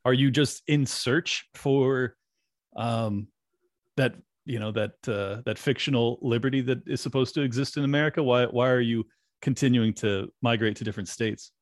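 A man's voice: mid-range voice, moderate pace, no room sound, clean studio sound. A man hosting a podcast interview, talking casually with restarts and fillers. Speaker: podcast host. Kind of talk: conversational interview.

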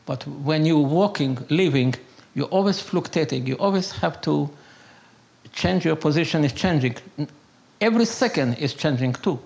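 An older Hungarian man speaks in a fairly high voice with a gravelly creak. His Hungarian accent is plain, and his English is halting and broken. He talks off the cuff like a storyteller and philosopher, repeating words for emphasis. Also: lively intonation, a little mellow. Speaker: interviewee telling his life story. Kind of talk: unscripted conversational storytelling and philosophizing.

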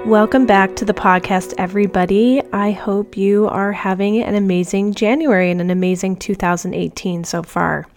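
A woman's voice: medium pitch, fairly even intonation, normal speed, few pauses, music in the background. A woman in her thirties or forties hosting her own podcast, talking in a chatty, upbeat way with fillers, her voice nasal from a cold. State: stuffy nose from a cold. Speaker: podcast host. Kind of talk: casual solo podcast monologue.

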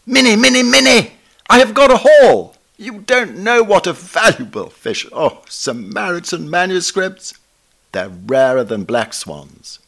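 A man acts out a jubilant Victorian aristocrat in a letter reading, his pitch raised high. The collector exclaims rapturously to his wife in an upper-class English accent. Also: very animated, a little slow, creaky, a bit bright, exaggerated voice.

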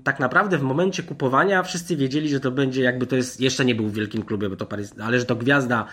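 A man's voice: medium pitch, fast talker, a bit bright. A man talking casually as a football pundit in a podcast, rambling, repeating words and restarting his sentences.

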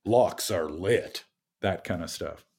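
A man with a deep voice, a game designer talking casually in an interview, relaxed and full of fillers. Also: takes it slowly, vocal fry, breathy edge. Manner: relaxed, chatty, many fillers and restarts.

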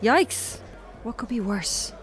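A woman's voice: on the high side, lively intonation, takes it slowly. A young woman on a first date in an audio drama. She talks naturally, with a dry, teasing edge, and keeps her guard up. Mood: playful.

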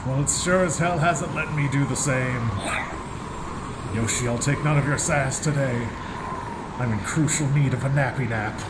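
An actor playing a snobbish, irritable, well-travelled gentleman plumber in a comic radio play, with mannered old-fashioned phrasing. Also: medium pitch, moderate pace, very noisy, lightly acted. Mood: exasperated.

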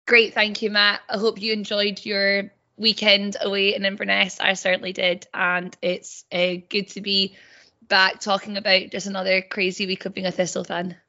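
Bright, keen woman. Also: medium pitch, flat delivery, normal speed. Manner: enthusiastic fan. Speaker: podcast panelist. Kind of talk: casual football podcast chat. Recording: dull lo-fi podcast audio.

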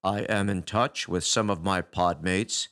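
Guy doing a grumpy deep-voiced alien, gravelly tough-guy growl, tired and touchy, storybook reading. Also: steady tone, unhurried, fairly bright tone. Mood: flat. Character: gruff, weary, touchy male space alien.

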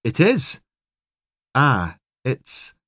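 Cocky policewoman played by a man, Scottish Highlands lilt, raised pitch, brisk, eager, crime audiobook. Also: wildly swooping pitch, bright, forceful tone, slightly rough, no echo, clean studio sound, heavily acted. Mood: flustered. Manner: sing-song, brisk and eager.

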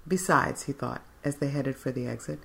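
A woman narrates a fiction story in a scripted, dramatic style. Her voice is notably low for a woman. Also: normal speed, vocal fry, fairly bright tone.

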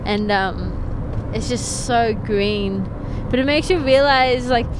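A woman's voice: on the high side, slow. A woman from New South Wales with an Australian accent, chatting casually inside a moving car. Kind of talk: casual chat. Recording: clear road and car noise.

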